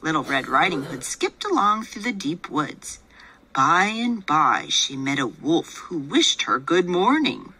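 A woman reading a picture book aloud in a gentle, patient storytelling manner, voicing the father. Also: low-pitched, sing-song, a little slow, a little hiss or hum.

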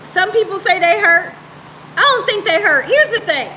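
A woman's voice: very high voice, expressive, moderate pace, pushed and bright, a little hiss or hum, poor audio. A woman around her thirties or forties chatting casually and playfully with live viewers as host, repeating phrases for emphasis. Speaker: cooking show host on a livestream. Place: home room, indoors.

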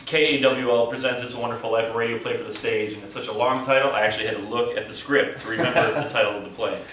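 A man's voice: medium pitch, fairly even intonation, quick pace, a bit bright. An American man in his thirties chatting casually as an interviewee, with a joking, mock-boastful manner. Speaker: actor and writer being interviewed. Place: indoor theatre set. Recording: echoey room, distant mic, faint noise.

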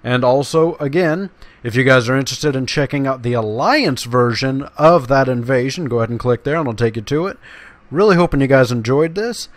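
A man's voice: medium pitch, brisk, dry recording. A man talking casually and informally to viewers as the host of a let's-play gaming video. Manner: informal, addressing viewers directly.

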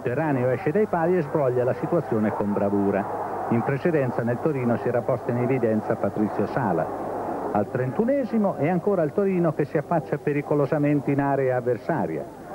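A man's voice: medium pitch, animated, brisk. A man reading a scripted football match report on an old television broadcast. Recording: dull, noisy archive TV broadcast.

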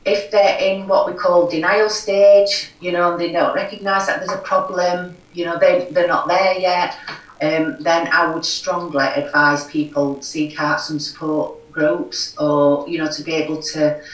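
A woman's voice: on the low side, level tone, a little slow. A middle-aged woman with a broad Yorkshire accent, talking frankly and earnestly as an interview guest on a video call, full of "you know". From Yorkshire, England. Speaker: guest, recovery forum chair. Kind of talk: conversational interview. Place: home room. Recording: echoey room, far from mic.

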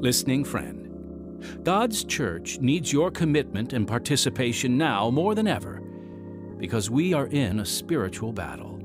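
Music plays under a man announcing a radio drama. His medium-pitched voice moves up and down a lot, with polished, emphatic scripted delivery. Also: big pitch swings, normal speed. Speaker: announcer and narrator.

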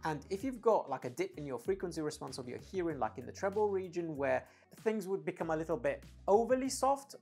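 A man's voice: on the high side, vocal fry, a little mellow. A man in his thirties giving a casual product review to camera as an audio gear reviewer, recorded indoors on a lapel mic. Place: indoor home studio room at a desk. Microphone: lapel mic clipped to shirt.

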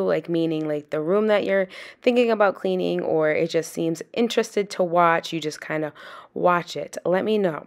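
Chatty low-voiced woman cleaning a bedroom. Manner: chatty, rambling, asks viewers questions. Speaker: cleaning vlogger. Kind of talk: casual chat to camera while cleaning. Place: small home bedroom, indoors. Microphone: camera on a stand across the room.

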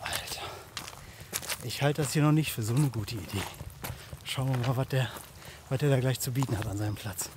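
A man's voice: mid-range voice, normal speed, long pauses. A man talking casually and jokingly in colloquial language as he records a camping vlog outdoors on his phone. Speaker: camping vlogger. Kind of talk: casual outdoor vlog talk to camera and companion. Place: outdoors at a riverside campsite. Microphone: handheld smartphone. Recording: faint outdoor noise on phone audio.

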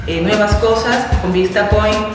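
A middle-aged woman with a Latin American Spanish accent, a band singer giving a casual interview, halting as she searches for words. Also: medium pitch. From Mexico and Dominican Republic. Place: indoor studio stage area, seated on sofa. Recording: roomy, distant, loud music behind. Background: loud music.

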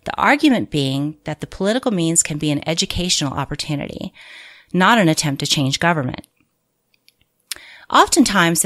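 A woman reading scripted audiobook narration of a political manual in a measured, deliberate, clearly phrased way. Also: low voice, a bit bright.

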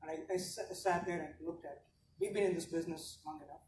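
A man introducing himself on an industry panel, speaking in a measured, reflective way. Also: fairly high pitch, steady tone, a little mellow. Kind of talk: conference panel presentation. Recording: echoey distant hall livestream, faint noise.

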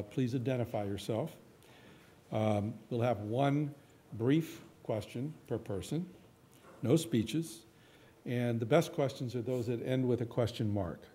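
A man in his sixties moderating audience questions informally at a podium microphone, with halting phrasing. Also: mid-range voice, dark tone, a little hiss or hum. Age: 60s. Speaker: forum moderator. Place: auditorium stage at a podium.

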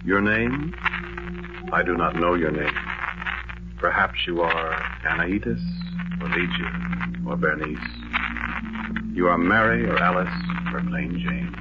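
Wistful lonely man searching for love, deep low voice, soft poetic telling, 1940s radio drama, muffled hissy broadcast. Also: slow pace, long pauses.

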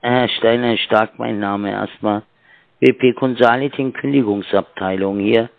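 A man with a rough, gravelly voice pushes a sales pitch over the phone. He stumbles and restarts, padding his sentences with fillers. The line is tinny and completely dry. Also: steady tone, no echo. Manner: halting, fumbling with fillers. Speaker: scam caller. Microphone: telephone.

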